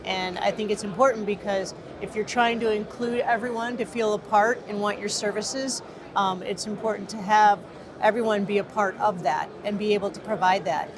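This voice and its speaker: A woman's voice: medium pitch, steady tone, normal speed, background noise. A woman from the Midwest, co-owner of a protection business, answering interview questions in an earnest way with frequent fillers.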